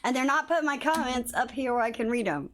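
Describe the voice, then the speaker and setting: A woman's voice: high-pitched, expressive, fast, fairly bright tone, a little hiss or hum. A woman livestreaming a game, chatting casually with viewers and swearing a little; her voice is slightly worn, as if she is losing it. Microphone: phone.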